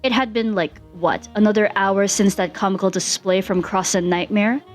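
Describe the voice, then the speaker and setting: A woman reading fan fiction aloud as an expressive narrator, with music behind her. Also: medium pitch, moderate pace.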